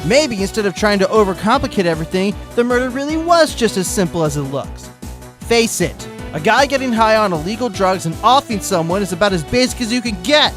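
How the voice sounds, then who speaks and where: A man voicing a cocky aerobics instructor defendant, taunting in a slow, mocking drawl. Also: high-pitched, expressive, music in the background. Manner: taunting, drawn-out mocking delivery.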